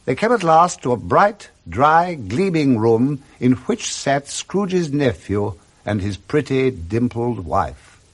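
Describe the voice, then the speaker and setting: A man with a British accent narrating a 1960s radio drama in a measured, expressive storytelling way. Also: mid-range voice. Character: male storyteller narrating a Victorian Christmas tale.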